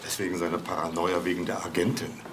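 Actor as brisk male detective, quick-talking. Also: sits low, flat delivery, echoey room, very noisy, lightly acted. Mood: matter-of-fact. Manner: brisk, factual. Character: brisk, matter-of-fact male police detective. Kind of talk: German crime drama acting.

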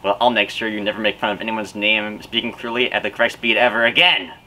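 A man's voice: lowish voice, fairly even intonation, quick pace, sharp tone, a little hiss or hum, low-quality recording. A young man putting on a petty, huffy wizard. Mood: vengeful. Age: young adult. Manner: theatrical, huffy. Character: grumpy petty male speech-curse wizard. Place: backyard outdoors.

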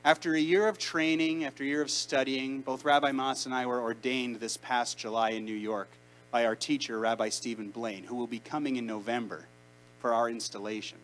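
Young canadian guy rabbi giving a sermon, mid-pitched, chatty but emphatic, faint hum in a big room. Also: steady tone, a bit bright, low-quality recording. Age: around 30. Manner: conversational, emphatic preaching with deliberate pauses. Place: large synagogue sanctuary. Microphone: handheld mic, far camera.

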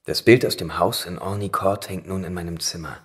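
An actor playing a secretive young scholar in a radio drama, reverently obsessed with his research, with a halting, hushed way of reading aloud. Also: sits low, natural voice. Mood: proud, brooding. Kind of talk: German radio drama acting. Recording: roomy echo, faint noise, clicks.

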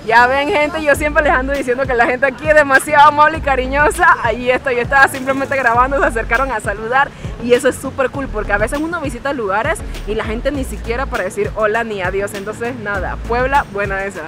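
A woman's voice: high voice, fast talker, few pauses. A young woman, chatting animatedly to a handheld camera on a city square. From Panama. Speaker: travel vlogger. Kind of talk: casual travel vlog. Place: busy city square and streets outdoors. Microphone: handheld camera at arm's length. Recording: outdoor city crowd with background music. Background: music and crowd noise.

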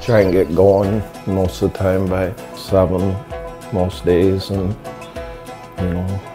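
A man's voice: deep voice, little pitch movement, slow pace. A plain-spoken man, talking casually like a farmer in an interview. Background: music bed.

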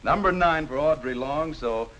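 A man's voice: fairly high pitch, moderate pace, highs cut off, a crowd in the background. Upbeat, jokey 1950s game show host man. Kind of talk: bantering game show hosting. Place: television studio with audience. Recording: noisy 1950s television studio sound.

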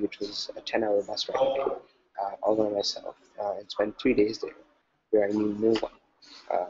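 A young man answering a question on a video call, speaking earnestly with frequent "uh"s. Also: lowish voice. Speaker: program alumnus. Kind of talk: casual Q&A answer. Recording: noisy dull video call audio.

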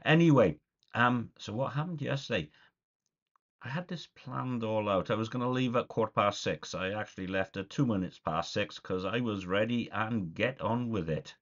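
A man's voice: fairly low pitch, moderate pace. A man in his forties, a little nasal from a cold, chatting casually through a video diary in a small room, rambling with lots of ums. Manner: chatty, rambling, filler-heavy. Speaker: vlogger. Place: small dim room indoors. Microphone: webcam at desk. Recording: dull lo-fi webcam audio.

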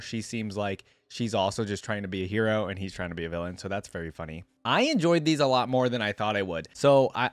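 A man's voice: fairly low pitch, no room sound. A young man giving casual reaction commentary into a close desk microphone. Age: young adult. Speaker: reaction video host. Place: indoor gaming setup.

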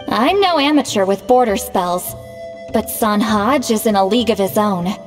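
A woman's voice: on the high side, darkish tone, close to an everyday voice. Woman voicing a proud young shaman, reverberant. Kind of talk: scripted video game dialogue.

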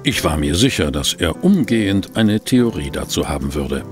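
An actor playing a courteous, inquisitive newspaper reporter in a German audio drama, speaking politely and formally. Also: male voice, low voice, big pitch swings, moderate pace, music in the background. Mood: expectant. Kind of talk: German audio drama acting and first-person narration.

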